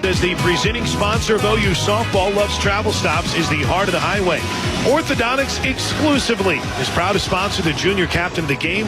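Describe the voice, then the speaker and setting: An American man calling softball play-by-play live on the radio. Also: high-pitched. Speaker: play-by-play announcer. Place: outdoor softball stadium broadcast booth. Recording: loud crowd and music behind broadcast voice. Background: crowd noise and stadium music.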